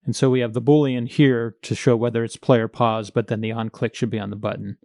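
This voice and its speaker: Male coding instructor, mid-pitched with noticeable vocal fry, informal and hands-on, close dry sound. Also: flat delivery, a little fast, a little mellow, no room sound. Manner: informal, thinking aloud while working. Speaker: programming tutorial instructor. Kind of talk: step-by-step coding screencast narration.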